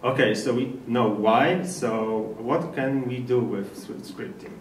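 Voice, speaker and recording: A man from Poland with a Polish accent gives an informal technical presentation in slightly halting non-native English. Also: mid-range voice. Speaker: speaker at a developer meetup. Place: indoor meetup presentation room. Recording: echoey room, distant mic, faint noise.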